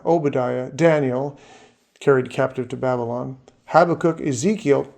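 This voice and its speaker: A man giving a scripted narration as the presenter of his own series, in a measured, steady way as he works through names and facts. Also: mid-range voice, creaky.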